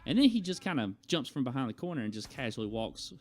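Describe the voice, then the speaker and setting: A man's voice: medium pitch, very animated, quick pace. A young adult man chatting casually to viewers as a vlogger, telling a rambling personal story in a conversational way. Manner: conversational, acts out quoted remarks.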